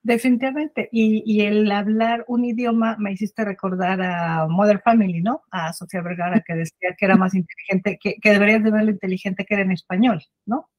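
A woman's voice: mid-range voice, fairly even intonation, fairly quick, hardly any pauses, no room sound, lo-fi sound. A woman hosting a conversational interview, chatty, often closing her points with a questioning tag. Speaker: host.

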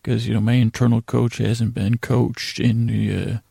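A man with a deep-leaning, heavily creaky voice delivers a bedtime monologue. His tone is soft-edged and dark, and he wanders haltingly from one half-finished sentence to the next, as the host of a sleep podcast. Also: flat delivery, no room sound, studio quality. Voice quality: gravelly, creaky. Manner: meandering, halting, trailing off mid-sentence.

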